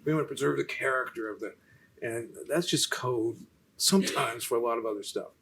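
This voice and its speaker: A soft-spoken man, lecturing and answering questions in a halting way, with many restarts. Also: mid-range voice, vocal fry, a little hiss or hum, poor audio. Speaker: featured lecturer in a Q&A. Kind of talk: unscripted lecture conversation.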